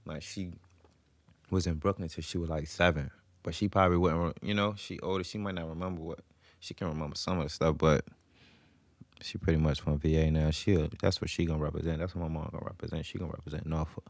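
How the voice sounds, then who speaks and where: A man's voice: very deep voice, steady tone, fast, no room sound. A young man chatting casually as a podcast guest, rambling in slang and repeating himself, close on the mic. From Virginia, US. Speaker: podcast guest, recording artist. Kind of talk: casual chat. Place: small podcast room with couches.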